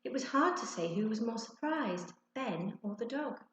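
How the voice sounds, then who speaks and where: A woman's voice: on the high side, animated, moderate pace, a bit bright. A woman narrating a children's storybook expressively, like a teacher reading to her class. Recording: echoey, roomy sound.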